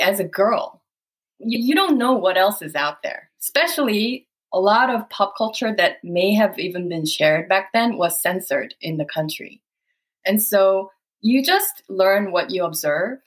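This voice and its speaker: A woman's voice: medium pitch, expressive, measured pace, slightly rough. A woman from South Korea with a Korean accent, whose first language is Korean, answering interview questions in an earnest, reflective way and laughing often as she recalls things. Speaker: guest.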